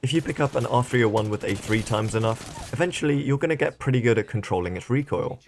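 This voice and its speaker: A man with a croaky, hoarse voice, giving a brisk tutorial voice-over with self-mocking asides. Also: mid-range voice, slight background noise. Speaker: gaming YouTuber.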